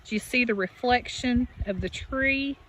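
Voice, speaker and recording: A woman's voice: fairly high pitch, a little slow, a bit bright, poor audio. A woman reading aloud to young children as a teacher, instructive and addressing them directly. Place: outdoors by a farm pond. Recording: outdoor recording with background nature noise. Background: running creek, animal sounds.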